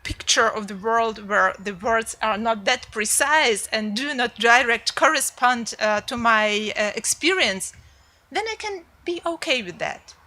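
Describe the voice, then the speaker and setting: A translator speaking English on a panel, thoughtful and halting with many fillers. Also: female voice, fairly high pitch, expressive, bright, forceful tone. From Ukraine. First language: Ukrainian. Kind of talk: panel discussion.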